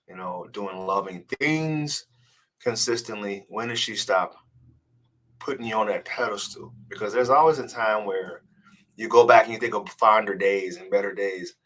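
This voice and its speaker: A man's voice: on the low side, a bit bright. A man with a congested voice from a cold, speaking casually and earnestly as a dating coach on a live stream and often repeating words as he restarts. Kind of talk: live-stream advice chat reading comments.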